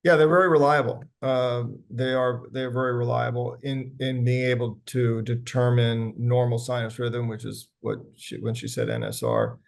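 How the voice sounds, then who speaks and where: A man speaking as a physician on a health panel, answering audience questions conversationally with many pauses and restarts. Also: medium pitch, creaky. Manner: conversational, frequent fillers and restarts. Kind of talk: medical panel Q&A.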